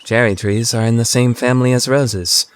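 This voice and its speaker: A man's voice: medium pitch, fairly even intonation, moderate pace, clear, resonant tone, dry recording, close to an everyday voice. A man voicing an awkward, earnest teenage schoolboy with a crush in an anime fandub, hesitant and easily flustered. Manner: flustered, hesitant, overthinking monologue. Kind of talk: anime fandub radio play.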